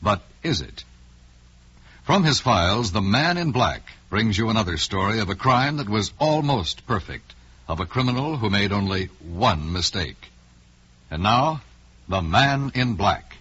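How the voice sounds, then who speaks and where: A man's voice: on the low side, sing-song, measured pace, a bit bright, slightly rough. A man announcing a crime series in dramatic old-time radio style, ominous and emphatic. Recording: dull, lo-fi old radio recording.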